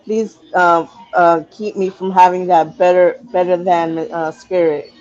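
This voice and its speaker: A guest speaking quickly and earnestly in casual conversation. The voice stays flat and even. The sound is dull and muffled, like a lo-fi line. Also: mid-range voice. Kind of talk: casual chat.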